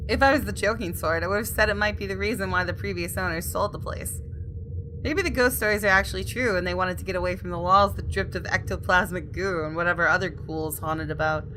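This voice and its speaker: A woman gaming host reading visual novel narration aloud in an expressive, storytelling way with casual asides. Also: fairly low pitch, fast, noisy background.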